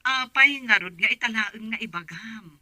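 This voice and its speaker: A woman's voice: fairly high pitch, moderate pace, sharp tone, dry recording. A woman acting a struggling, worried middle-aged mother in an Ilocano radio drama, insistent, with a Filipino accent. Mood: exasperated. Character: struggling mother working away, worried and exasperated.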